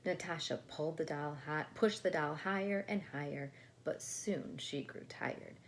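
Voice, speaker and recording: A woman reading a picture book aloud to children in an expressive storytelling style. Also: low voice, a little slow. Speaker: storybook reader. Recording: faint background noise.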